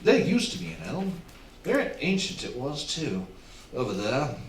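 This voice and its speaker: A man plays a fretful, well-bred master of a country house with upper-class phrasing. His radio-play delivery is exclamatory and swings widely in pitch, picked up from a little way off in a roomy space. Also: wildly swooping pitch, normal speed. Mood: excited, baffled. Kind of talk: theatrical radio drama acting. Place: theatre stage, live performance. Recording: roomy, distant stage miking, faint hiss.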